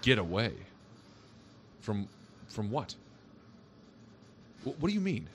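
An actor playing a skeptical, wry police officer in an audio drama, questioning in a casual, doubting, placating way. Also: male voice, on the low side, big pitch swings, slow, fairly bright tone, slight background noise, full character voice. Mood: incredulous, puzzled. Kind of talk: audio drama acting, naturalistic.

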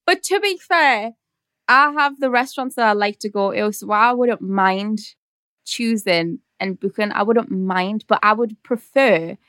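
A woman's voice: medium pitch, unhurried, a bit bright, no echo. A young woman with a Geordie accent, chatting frankly and laughing as a podcast guest. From North East England. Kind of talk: casual chat.

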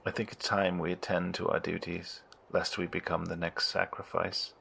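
Zealot in horror audio drama: deep-ish creaky male voice, solemn, wary, formal riddling speech. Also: flat delivery, normal speed, fairly bright tone, slight background noise, heavily acted. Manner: solemn, formal, archaic phrasing.

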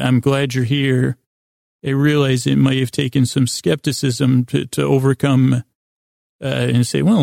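A man hosting a bedtime podcast in a gravelly, creaky voice, with a goofy, meandering, halting monologue that keeps interrupting itself. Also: medium pitch, darkish tone, dry recording, clean studio sound. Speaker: podcast host.

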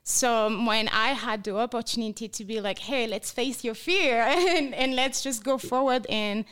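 French woman, second-language English, smooth voice, earnest interview guest. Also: a little high, moderate pace. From France. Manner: earnest, laughs softly between thoughts. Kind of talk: conversational interview.

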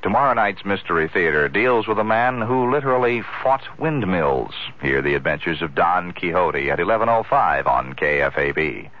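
A man's voice: low voice, animated, moderate pace, hardly any pauses. A man reading scripted continuity as a radio station announcer. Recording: dull radio recording, faint noise.

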